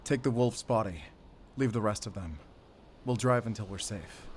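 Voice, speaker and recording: A man's voice: fairly low pitch, moderate pace, darkish tone, a little hiss or hum, lightly acted. A man playing an urgent, protective and commanding wolf hunter in a cinematic audio drama. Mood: tense, practical.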